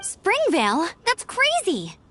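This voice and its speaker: A woman's voice: very high voice, huge pitch swings, slow, bright, forceful tone, heavily acted. A woman playing a spirited, eager young adventurer in an anime video game. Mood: eager, concerned.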